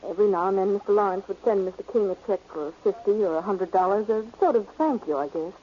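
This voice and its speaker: A woman's voice: medium pitch, quick pace, natural voice. An actress playing a polite, earnest, slightly hesitant young cashier giving her account in a 1940s radio drama. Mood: polite, uneasy. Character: earnest, polite female beauty parlor cashier and witness. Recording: dull, noisy old radio recording.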